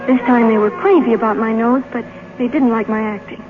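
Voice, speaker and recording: An actress playing an earnest, eager young studio script reader in a radio drama, plain-spoken and sincere. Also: female voice, a little high, steady tone, brisk, over music, close to an everyday voice. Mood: candid, cheerful. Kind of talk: 1950s radio drama acting. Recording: noisy, dull old radio broadcast recording.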